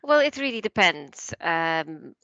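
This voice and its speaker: A woman's voice: fairly low pitch, big pitch swings, takes it slowly, bright, forceful tone, lo-fi sound. A woman language coach, Polish by first language, chatting in a podcast interview, with frequent fillers, restarts and laughs.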